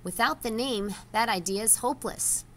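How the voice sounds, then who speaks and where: A woman voicing a wise male rat leader in a children's storybook, formal, measured and grave. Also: a little high, a bit bright, a little hiss or hum, voice pushed up, close to an everyday voice. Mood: questioning.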